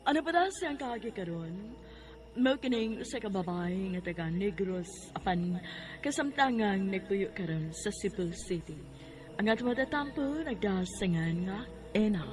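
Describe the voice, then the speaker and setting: A woman's voice: medium pitch, lively intonation, moderate pace, background noise. A woman with a Filipino accent announcing a radio drama in dramatic, scripted narration. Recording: music behind the voice. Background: music.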